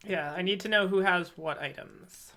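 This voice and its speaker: A woman's voice: lowish voice, normal speed, crowd noise. A woman running a tabletop game as game master, wry and joking at her players.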